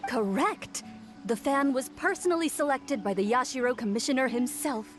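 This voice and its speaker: A woman voicing a polite, formal female official who announces contest rules in a video game, in a measured way. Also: sits high, music in the background, natural voice. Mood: formal, composed.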